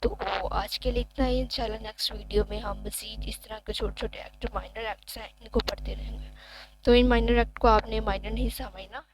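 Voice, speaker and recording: A woman's voice: fairly high pitch, steady tone, brisk, rough recording. A woman with a Pakistani accent giving an informal lesson as a law teacher, explaining as she reads and asking rhetorical questions. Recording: noticeable background noise with hum.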